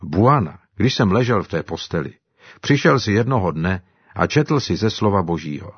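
Jubilant devout villager, played by a man, high and eager in tone, husky and dull-sounding. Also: dry recording, full character voice. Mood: earnest. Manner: excited, exclaiming. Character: cheerful grateful African man, warmly devout.

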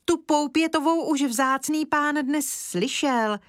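A woman voicing a lively, cheeky little village boy in an eager, childlike way. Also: high voice, no room sound, clean studio sound, voice pushed up, natural voice.

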